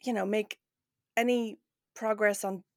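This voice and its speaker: A woman's voice: medium pitch, fairly even intonation, measured pace, no room sound, studio quality. A woman hosting a podcast in a casual, chatty interview style. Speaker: podcast host.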